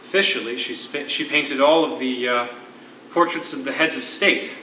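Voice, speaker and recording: Man acting an eloquent, bright-voiced poet prisoner on stage. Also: medium pitch, close to an everyday voice. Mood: tender, nostalgic. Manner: eloquent, theatrical, measured storytelling. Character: lyrical, wistful former poetry teacher, a political prisoner. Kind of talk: live one-act stage play. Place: theatre stage, recorded from a distance. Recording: echoey, distant stage recording with faint noise.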